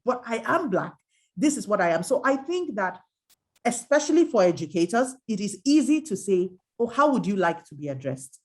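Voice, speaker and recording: A middle-aged woman from Nigeria, an adult educator, speaking earnestly and emphatically in a reflective dialogue, often quoting others. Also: mid-range voice. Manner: earnest, emphatic, quotes others in lively asides.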